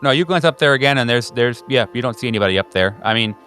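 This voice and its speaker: A man working as a game master, improvising descriptive narration in a halting, conversational way. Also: medium pitch, lively intonation, bright, forceful tone, smooth, soft background music.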